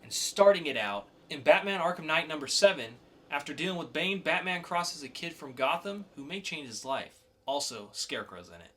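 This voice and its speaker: A man's voice: a little high, very animated, moderate pace, sharp tone, slight background noise. A man co-hosting a podcast, casually reviewing comic books in a conversational way with emphatic announcements. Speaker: podcast co-host.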